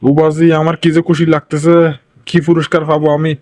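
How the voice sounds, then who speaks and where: A man performs a wily, plain-spoken Chittagonian local in a comic phone skit. His voice sits a little high and moves quickly, with a colloquial, questioning delivery. The sound is close and dry. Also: monotone, darkish tone, no echo, natural voice. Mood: mock-naive, bargaining. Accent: Chittagonian Bangladeshi. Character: wily, blunt Chittagonian local man playing naive, then cursing. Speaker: prank call recipient. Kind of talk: comic prank phone call dialogue.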